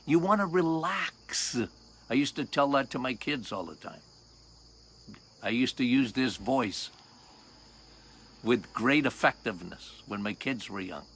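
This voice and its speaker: An older man with a loud voice, a doctor hosting a health podcast outdoors, talking casually and emphatically, repeating key points and quizzing his listeners. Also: medium pitch, expressive, measured pace, pauses often, a little hiss or hum. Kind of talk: casual health talk monologue.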